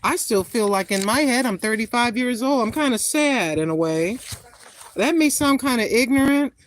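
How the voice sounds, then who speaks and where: A woman in her sixties chatting casually with viewers on a live stream, greeting them by name and talking her thoughts through out loud. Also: a little high, lively intonation, normal speed. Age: 60s. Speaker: vlogger greeting live chat.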